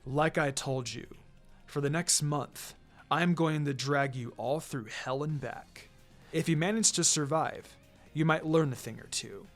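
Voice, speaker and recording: A reader voicing a stern, low-key ninja commander over music. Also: natural voice. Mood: cold, menacing. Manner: commanding, deliberate, menacing. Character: stern, cold, demanding male ninja commander and trainer. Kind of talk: fan fiction character reading. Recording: music under the voice.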